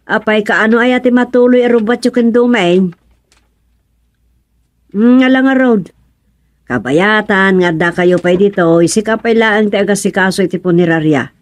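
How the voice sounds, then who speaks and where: A woman with a Filipino accent playing a plain-spoken neighbour in a radio comedy-drama, conversational and expressive. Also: medium pitch, normal speed, pauses often, natural voice. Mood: businesslike. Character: plain-spoken neighbourhood resident organising communal work.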